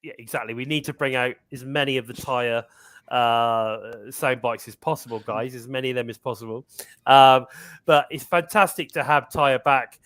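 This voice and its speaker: A man's voice: medium pitch, steady tone, fairly bright tone. A man hosting a live-stream talk show, chatty and upbeat, with a lingering cough. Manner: upbeat, chatty, drawn-out fillers. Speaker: podcast host. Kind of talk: casual live-stream chat.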